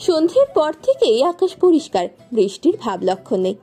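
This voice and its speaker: A woman's voice: high voice, huge pitch swings, fairly quick, mellow, faint music behind. A woman reading a scripted romantic story as its narrator.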